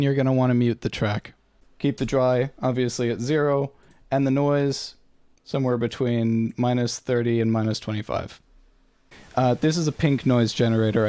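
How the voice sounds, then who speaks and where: A man's voice: medium pitch, warm tone, no room sound. A man presenting an audio-production tutorial in an informal, matter-of-fact, slightly tentative way.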